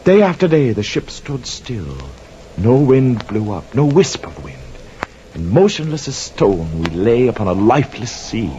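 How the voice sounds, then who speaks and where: A man's voice: on the low side, a little mellow. An actor playing a guilt-haunted sailor who narrates his cursed voyage in anguished, poetic radio drama style. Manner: theatrical, poetic, anguished declaiming. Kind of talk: 1950s radio drama acting. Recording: muffled vintage radio recording, faint noise, rain.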